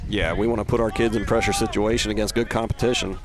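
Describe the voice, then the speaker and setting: A man's voice: lowish voice, fairly even intonation, fast talker. An American man giving conversational analysis as a color commentator on a baseball broadcast.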